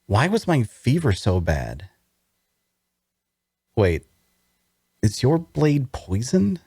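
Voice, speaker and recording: A man's voice: medium pitch, wildly swooping pitch, drawn-out words, a little mellow, breathy edge, dry recording, exaggerated voice. A man playing a wounded, bookish prisoner in an audio drama table read, wary and inquisitive, with a hesitant, questioning delivery. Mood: confused, alarmed.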